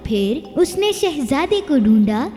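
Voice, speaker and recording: A woman's voice: high-pitched, very animated, slow, warm tone. A woman narrating a scripted fairy tale for children as a storyteller. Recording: long reverberant echo. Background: faint music.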